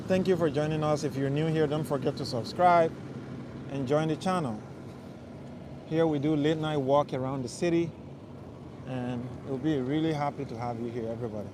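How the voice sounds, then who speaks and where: A young man from Liberia, casually chatting with viewers of his live stream while walking city streets at night, rambling and answering their questions. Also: on the high side. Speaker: livestream host. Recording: faint street and traffic noise. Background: faint traffic noise.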